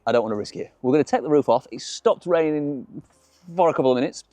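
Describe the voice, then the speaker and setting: A man's voice: medium pitch, fast, dark tone, dry recording. A man with a Yorkshire accent presenting a casual car vlog in chatty, colloquial British slang. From Yorkshire, England. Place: in and around a small open-top car outdoors. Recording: noisy, car and outdoor ambience.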